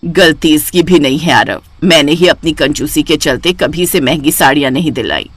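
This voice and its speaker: A woman voicing a regretful older mother-in-law who softly blames herself, slightly lower than her own voice. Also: moderate pace, slightly rough, no room sound.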